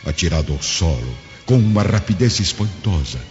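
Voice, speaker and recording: Very deep, theatrical male narrator. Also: animated, normal speed, dull audio. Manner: solemn, theatrical, emphatic. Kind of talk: dramatic scripted radio drama narration. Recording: band-limited sound, loud music underneath.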